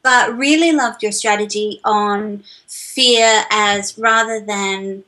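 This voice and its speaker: A woman's voice: medium pitch, steady tone, slow, sharp tone. A woman interviewing a guest over a video call, enthusiastic and chatty. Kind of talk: friendly interview questions.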